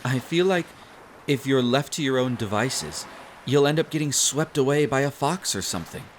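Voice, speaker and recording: A man's voice: mid-range voice, lively intonation, normal speed, slight background noise, close to an everyday voice. A man acting as a smitten young barista on a beach outing in an intimate roleplay audio, playful, bashful and caring as he rambles. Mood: concerned, tender.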